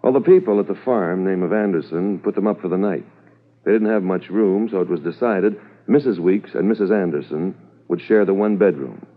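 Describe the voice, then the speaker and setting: An actor playing a brisk, authoritative police commissioner in a 1950s radio drama, methodical and official. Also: male voice, low-pitched. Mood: businesslike, briefing. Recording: muffled, thin old radio recording.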